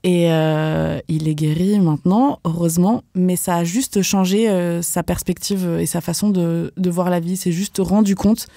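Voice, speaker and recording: A young woman from France, a professional dancer, speaking candidly as a podcast guest, casual and animated, with lots of fillers and slang. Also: fairly low pitch, a little slow, vocal fry, few pauses, no echo, studio quality. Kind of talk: podcast interview.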